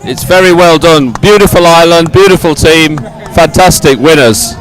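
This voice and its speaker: A man with a slightly high voice hosts live coverage of an outdoor sporting event. He is effusive, often doubles up his words, and speaks in an improvised presenting style in the open air. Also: moderate pace, faint music behind, rough recording. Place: outdoors at a regatta stadium.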